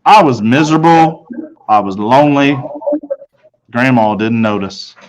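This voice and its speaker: A man's voice: mid-range voice, expressive, unhurried, muffled recording, slight background noise. A man reading a first-person children's story aloud as its narrator. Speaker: storybook reader.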